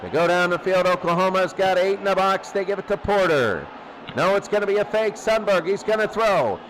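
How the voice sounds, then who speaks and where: Male football commentator, high register, excitable and conversational, noisy recording. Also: a little fast. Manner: excitable, conversational asides between plays. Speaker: play-by-play commentator of a simulated football tournament. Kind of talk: live American football radio-style commentary.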